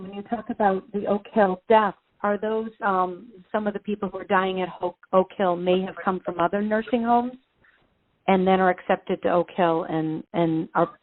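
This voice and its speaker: A woman's voice: medium pitch, fairly even intonation, moderate pace. A woman reporter asking probing questions on a press call, rephrasing to clarify. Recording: dry, narrow phone line.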